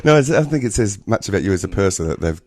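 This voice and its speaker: A man's voice: on the low side, very animated, rapid-fire, darkish tone. A man hosting a casual radio interview, effusive and jovial with his guest. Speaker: radio host.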